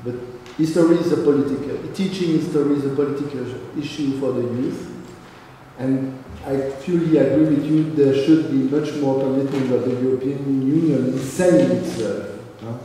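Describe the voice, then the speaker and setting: A man's voice: medium pitch, fairly even intonation, dark tone, distant mic, a little hiss or hum. Man with French accent in echoey hall. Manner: halting, many restarts and fillers. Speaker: seminar panelist. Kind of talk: discussion answer. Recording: echoey hall, recorded from afar.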